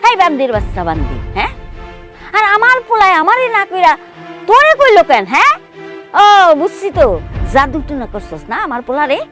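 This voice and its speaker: A scornful Bangladeshi village matriarch from a family melodrama. Her voice is high and swings widely as she berates and accuses, with faint music behind her. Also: wildly swooping pitch, a little fast, a bit bright, exaggerated voice. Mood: furious. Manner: scolding, sneering, accusatory. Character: abusive, scornful mother-in-law, bitter village matriarch. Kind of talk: melodramatic TV drama acting.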